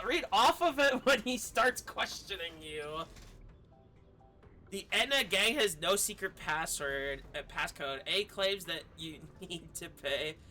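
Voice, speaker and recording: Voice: mid-range voice, pushed and bright. A streamer commenting playfully on a game, reading its text aloud and stumbling and swearing along the way.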